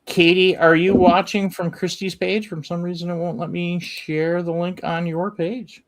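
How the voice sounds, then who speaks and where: Low-key man co-host reading the chat. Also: flat delivery, normal speed. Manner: low-key, self-described grump.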